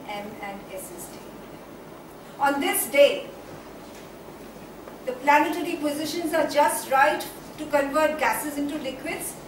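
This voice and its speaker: A woman with an Indian accent acting an anguished, reflective figure in a declamatory, deliberate theatrical monologue on stage. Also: sits high. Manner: declamatory, deliberate, with dramatic pauses and repetitions. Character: anguished, reflective woman baring her soul in soliloquy. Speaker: stage actor. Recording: very echoey stage, distant mic, faint noise.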